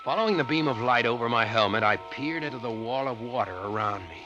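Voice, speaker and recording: Earnest radio-drama diver over loud music, played by a man. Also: medium pitch, lively intonation, muffled recording, natural voice. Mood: grave, suspenseful. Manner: dramatic, deliberate storytelling. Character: earnest treasure-hunting diver recounting his eerie adventure. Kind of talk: 1940s radio suspense narration and acting.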